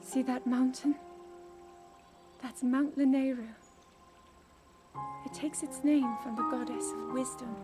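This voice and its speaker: A young woman voicing an earnest young princess who is burdened by duty and doubts herself, speaking softly in a game cutscene. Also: sits high, fairly even intonation, takes it slowly, long pauses, over music. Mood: gentle. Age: young adult. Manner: soft, pleading, searching. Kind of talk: video game cutscene voice acting.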